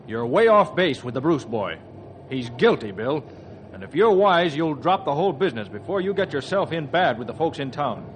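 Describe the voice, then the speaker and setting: A man playing a blunt, forceful small-town banker in an old radio western, emphatic and full of warning. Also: fairly high pitch, big pitch swings, normal speed. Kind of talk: old-time radio western drama acting. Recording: noisy old radio, vehicle-like background.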